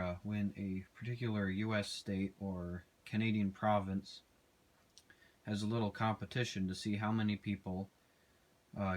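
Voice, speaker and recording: A man giving a casual, unscripted walkthrough as an amateur radio operator, plain-spoken and a little hesitant. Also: lowish voice.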